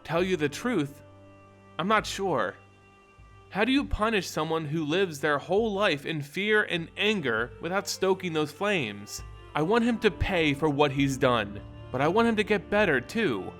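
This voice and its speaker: Storybook werewolf heroine played by a man: idealistic, conflicted, heartfelt, slightly high voice with music underneath. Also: big pitch swings, a little slow, fairly bright tone. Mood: troubled, earnest. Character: earnest, troubled werewolf woman, idealistic and conflicted. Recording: music behind the voice.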